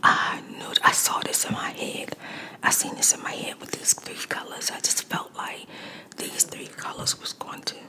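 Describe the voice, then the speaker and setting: Whispering woman vlogger, emphatic repeats. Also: normal speed. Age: adult mother. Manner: whispering throughout, repeats phrases for emphasis, addresses viewers as y'all. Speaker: makeup vlogger. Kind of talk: whispered storytime while doing makeup.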